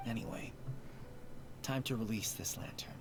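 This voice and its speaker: An actor playing a gentle, nostalgic grown man in a video game. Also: lowish voice, moderate pace, in a whisper, lightly acted.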